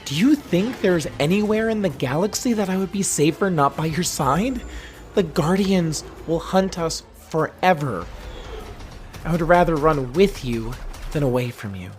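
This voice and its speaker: A woman's voice: low voice, expressive, measured pace, a little mellow. Woman playing an earnest, devoted alien lover. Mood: urgent, tender. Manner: emotional, earnest. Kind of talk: audio drama acting.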